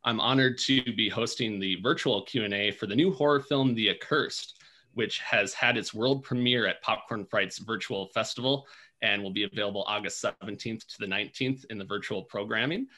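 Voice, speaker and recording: A man's voice: lowish voice, moderate pace, pushed and bright, poor audio. A man hosting a film Q&A over video, polished and enthusiastic in how he frames his questions. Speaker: host. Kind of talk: interview hosting.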